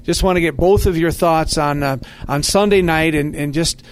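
A man's voice: on the high side, normal speed. A man hosting a sports talk show, speaking enthusiastically in the manner of a radio announcer. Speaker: sports podcast host, radio play-by-play man.

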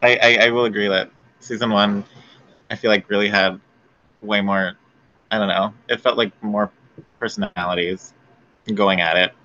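A man's voice: lowish voice, fairly even intonation, moderate pace, pauses often, sharp tone, no room sound, lo-fi sound. A man who is a guest on a casual podcast, easygoing, laughing often and reacting in short bits.

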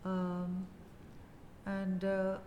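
A woman in her fifties from India with an Indian English accent, telling her story as a guest in a casual interview, measured and full of pauses. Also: mid-range voice, completely flat delivery, warm tone, in a large hall, slight background noise. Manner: measured, many pauses and "uh" fillers.

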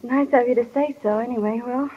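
Woman playing a high-voiced, earnest teenage girl. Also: steady tone, a little fast, close to an everyday voice. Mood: shy, polite. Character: earnest, self-conscious teenage small-town schoolgirl. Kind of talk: 1940s radio drama acting. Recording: dull, reverberant 1940s radio recording.

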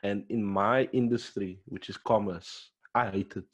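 A man's voice: fairly low pitch, fairly even intonation, measured pace, husky. A young man, co-hosting a casual podcast in a laid-back, slangy way and often repeating words as he talks. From South Africa. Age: young adult. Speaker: podcast co-host. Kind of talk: casual chat.

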